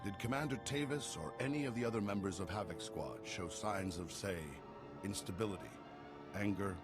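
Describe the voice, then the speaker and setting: An actor playing a measured galactic senator in a video game, speaking formally and deliberately. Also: male voice, on the low side, lightly acted. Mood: probing. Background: music.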